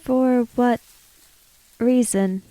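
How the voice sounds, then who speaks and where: A woman's voice: fairly high pitch, fairly even intonation, drawn-out words, mellow, dry recording, poor audio. A woman playing in a tabletop roleplaying game, bantering casually as she reacts to the story.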